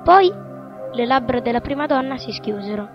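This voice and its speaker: A woman's voice: a little high, expressive, normal speed. A woman narrator reading scripted narration for a radio drama. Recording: dull, lo-fi radio drama sound. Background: music.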